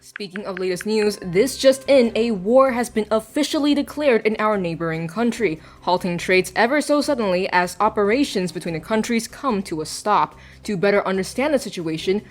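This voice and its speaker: A woman's voice: mid-range voice, lively intonation, moderate pace, faint music behind, lightly acted. Woman acting a formal female news anchor. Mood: formal, professional. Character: polished female news anchor hosting a broadcast. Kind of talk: news broadcast within an audio drama.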